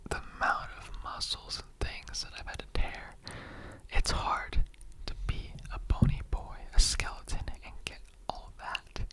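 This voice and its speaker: A performer acts out an immortal skeleton interrogator in an ASMR roleplay. The voice stays in a whisper throughout. The delivery is earnest and conversational, rambling through halting asides and repetitions. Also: pushed and bright, rough recording. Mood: weary, confiding. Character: chatty immortal skeleton interrogator, earnestly recruiting bone donors.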